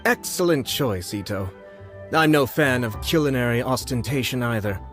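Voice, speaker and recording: Guy voicing a posh composed young lord in a game, measured and sly, medium pitch, music behind. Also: expressive, fairly bright tone, natural voice. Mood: amused, approving. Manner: polished, formal, measured. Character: refined, composed young nobleman, slyly amused. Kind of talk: video game dialogue.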